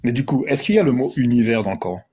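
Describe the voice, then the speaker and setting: A man with a husky, low-pitched voice helps run a casual debate. He speaks calmly and reassures the caller. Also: racing.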